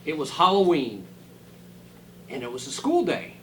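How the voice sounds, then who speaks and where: A man reading a children's storybook aloud dramatically, dropping into quieter asides to his listeners. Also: a little high, wildly swooping pitch, a little slow, slight background noise, low-quality recording. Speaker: school superintendent reading a picture book aloud.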